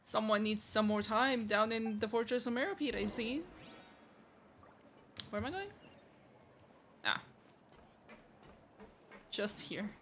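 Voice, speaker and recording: A woman's voice: on the high side, takes it slowly, long pauses, fairly bright tone, a little hiss or hum. A woman streamer giving offhand, slangy reactions as casual commentary on a game playthrough. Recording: game music behind the voice.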